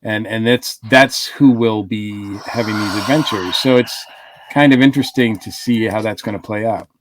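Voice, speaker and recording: A man's voice: on the low side, normal speed, background noise. A man co-hosting a casual podcast discussion, thinking aloud with many fillers. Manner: thoughtful, hedging with fillers. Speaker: podcast co-host.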